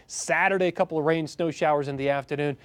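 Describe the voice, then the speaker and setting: An American man presenting a live weather forecast from an outdoor deck, with slight rumble behind him. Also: fairly even intonation, fast, clear, resonant tone, dry recording. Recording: faint outdoor rumble and noise.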